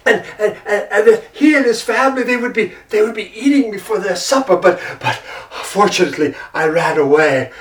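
A woman's voice: medium pitch, fairly quick, hardly any pauses, echoey room, distant mic, voice pushed up, natural voice. A woman voicing a frightened male tiger who stammers in breathless panic. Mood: panicky.

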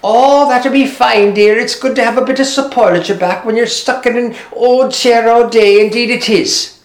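A storyteller voicing an elderly mother in a wheelchair, high-pitched and fussy. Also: moderate pace, lightly acted. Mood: fond. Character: frail old Irish mother in a wheelchair. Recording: roomy echo.

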